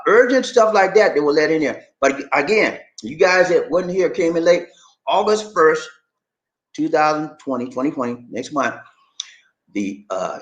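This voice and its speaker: A man's voice: medium pitch, a bit bright, lo-fi sound. A man hosting a live stream, reading out viewer comments and chatting loosely and directly to his audience. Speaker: channel host reading viewer chat. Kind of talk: casual live-stream chat. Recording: echoey room.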